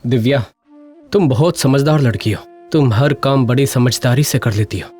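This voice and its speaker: A man voicing an affectionate, easygoing young husband from a poor household in an animated moral story, speaking tenderly and earnestly. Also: mid-range voice, normal speed, soft background music, lightly acted. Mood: worried, tender.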